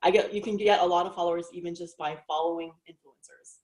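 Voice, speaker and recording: A woman's voice: on the low side, fairly even intonation, fast talker, a little mellow, low-quality recording. A woman hosting a casual live training chat, talking in an enthusiastic, gushing way. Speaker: host of a team training live.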